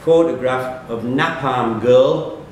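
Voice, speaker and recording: A man's voice: slow pace, darkish tone. Echoey, distant man reading quiz questions. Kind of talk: reading quiz questions aloud to live contestants. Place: pub room at a live quiz, echoey. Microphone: distant room microphone. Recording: echoey room, distant mic, faint rumbling noise.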